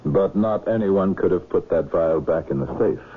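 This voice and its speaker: Low-voiced, skeptical lawman. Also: lively intonation, normal speed. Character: easygoing, skeptical lawman, the doctor's friend. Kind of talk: radio mystery drama acting. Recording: muffled, noisy old radio drama recording.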